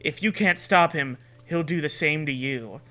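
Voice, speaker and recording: A man's voice: a little high, moderate pace, sharp tone, soft background music. A man voicing an earnest, melodramatic young woman who speaks from beyond death. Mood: grave, tender.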